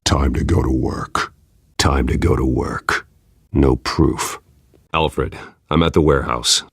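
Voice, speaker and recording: Very deep, gravelly masked vigilante. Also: male voice, steady tone, slow, dry recording, studio quality, heavily acted. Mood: grim, watchful. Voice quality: very low, gravelly growl. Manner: terse, intimidating, controlled. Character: grim, menacing masked vigilante detective, adult man. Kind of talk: video game voice acting.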